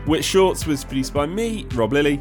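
Lively higher-pitched male podcast host. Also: moderate pace. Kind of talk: scripted podcast sign-off addressed to listeners. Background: music.

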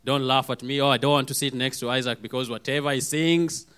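A man with a hoarse voice preaching a sermon, calling out for amens and acting out little quoted voices as he goes. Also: medium pitch, a little fast. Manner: lively, calls for amens, acts out quoted voices.

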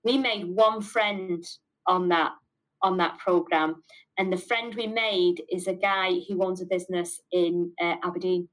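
A woman's voice: lowish voice, fairly even intonation, measured pace, fairly bright tone, low-quality recording. Emphatic middle-aged Mancunian woman. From north of England. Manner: emphatic, repeats words for stress. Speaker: business owner being interviewed. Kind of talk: candid interview answers.

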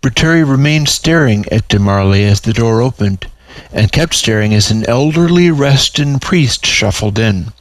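A man's voice: medium pitch, expressive, moderate pace, dry recording, poor audio. A man narrating a piece of fiction, reading his own story aloud.

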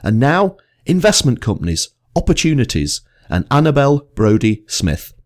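A man's voice: mid-range voice, very animated, normal speed. A man hosting a podcast, reading an upbeat promotional intro and then chatting with his guest in her office. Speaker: podcast host. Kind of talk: scripted intro then interview chat.

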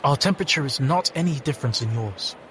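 A man playing a sober, literal-minded alien in an audio drama, deadpan and formal. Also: mid-range voice, fast talker, lightly acted. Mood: deadpan, serious. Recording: faint background ambience noise.

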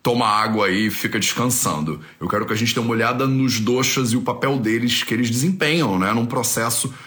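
A man of middling pitch hosts a casual live teaching stream. He rambles in a friendly, chatty way. He keeps circling back with repeated phrases and quick tag questions. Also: fairly bright tone.

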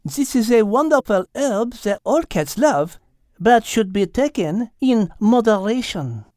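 A man's voice: sits high, takes it slowly, dry recording, voice pushed up. A man plays an elegant storybook lady cat. The voice is raised, composed and certain, in a dry recording. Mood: calm, firm. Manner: calm, certain, matter-of-fact. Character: calm, elegant petite female French cat.

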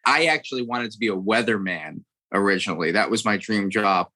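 An American man in his thirties talks about his career as an impressionist. He has a low-pitched voice with a bright, forceful edge. He rambles earnestly and often restarts his sentences mid-thought. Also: fairly quick, breathy edge, rough recording. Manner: earnest, rambling, repeats words. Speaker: impressionist guest. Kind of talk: interview storytelling.

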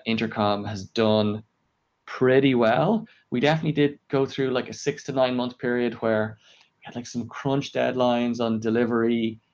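A man's voice: lowish voice, muffled recording. A man giving conversational interview answers as an engineering leader, explaining emphatically with lots of "like" and rhetorical questions. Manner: emphatic, filler-heavy, self-questioning explanations with frequent "like". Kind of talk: conversational interview answers about work experience.

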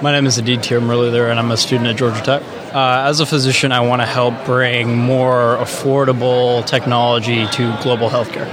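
Young man, deliberate, mid pitch, crowd chatter. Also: level tone. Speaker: university student. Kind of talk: vox pop interview. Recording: outdoor event with crowd chatter.

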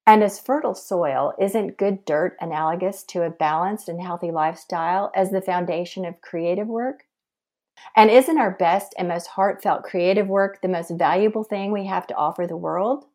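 Lower-voiced woman reading an introduction. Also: moderate pace, a little mellow. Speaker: podcast co-host. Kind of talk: scripted introduction narration.

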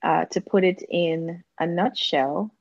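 A woman's voice: lowish voice, slow pace, gravelly, dry recording, highs cut off. A middle-aged woman with an accent that shifts between Jamaican and American, talking as a gracious, effusive podcast guest. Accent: Jamaican and American mix. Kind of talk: casual interview talk.

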